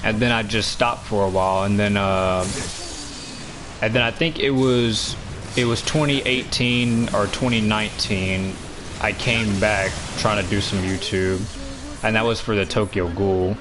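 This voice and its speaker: A nineteen-year-old man with a deep voice, chatting casually while streaming a game, full of fillers and restarts. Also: steady tone, a little slow, a crowd in the background. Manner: rambling, many fillers and restarts. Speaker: gaming streamer.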